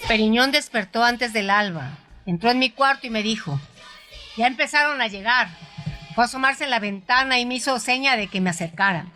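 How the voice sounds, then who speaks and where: Woman reading a story for radio, high bright voice, soft music underneath. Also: a little fast. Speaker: narrator. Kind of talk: dramatized literary audio story narration.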